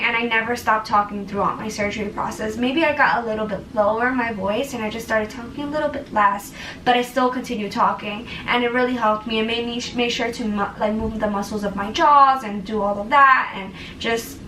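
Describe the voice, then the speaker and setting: A bright-voiced young woman chatting straight to camera in an earnest, filler-heavy way. She sits a little back from the mic, so her voice rings around a bare, echoey room with a faint hiss of ambience under it. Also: a little high, recorded from a distance. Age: young adult. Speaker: vlogger. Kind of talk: casual advice vlog.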